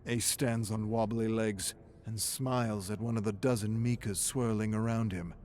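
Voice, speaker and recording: Low-pitched man narrating a dramatic story. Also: fairly even intonation, moderate pace, darkish tone, slight background noise. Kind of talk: dramatic scripted present-tense narration.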